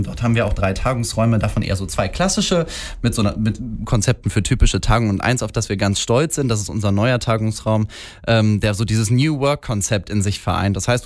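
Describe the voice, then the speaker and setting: Guy in his 30s, kinda low voice, lots of ähm and pauses, radio guest talking about his job. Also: a little mellow. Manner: hesitant, many fillers. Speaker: food and beverage manager.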